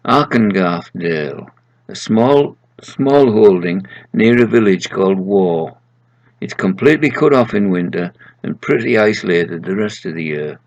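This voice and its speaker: A man's voice: sits low, animated, unhurried, a little mellow, muffled recording. A man voicing a businesslike male boss from heaven handing out a job in a story.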